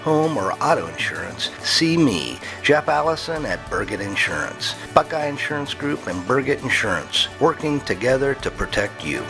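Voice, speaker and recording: A man's voice: medium pitch, sing-song, normal speed, creaky, few pauses. A man, an insurance agent, giving a scripted radio ad read. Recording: music bed behind voice. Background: background music.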